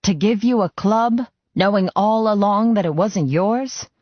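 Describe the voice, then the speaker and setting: A man's voice: high voice, a little slow, no echo, highs cut off, voice pushed up. A man voicing an older biker club boss and fond mentor, earnest, sweary and sentimental, in an audiobook. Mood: earnest, doubting.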